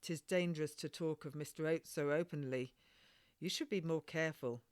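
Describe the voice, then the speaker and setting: A woman voicing a dutiful, cautious maidservant in a storybook, plain-spoken and measured in a deferential way. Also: deep voice, clean tone, no echo, natural voice.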